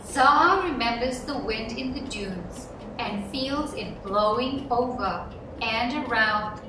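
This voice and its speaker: A woman reading a children's picture book aloud as scripted narration, with measured, deliberate phrasing. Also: a little high, expressive, noisy background. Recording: roomy echo, distant mic, background music. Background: music.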